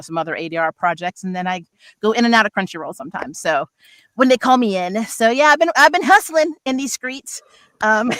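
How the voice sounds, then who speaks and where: Middle-aged female voice actor in panel chat: crisp bright tone, dry sound, candid, slangy, self-deprecating. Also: medium pitch, no room sound. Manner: informal slangy phrasing. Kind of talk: casual chat on a livestream panel.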